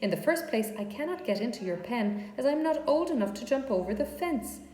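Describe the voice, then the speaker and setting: A woman's voice: fairly high pitch, lively intonation, brisk, warm tone, voice pushed up, close to an everyday voice. A woman voicing a haughty, dismissive young lamb with a curt manner in a storybook reading. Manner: curt, snooty. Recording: echoey room with clear background noise.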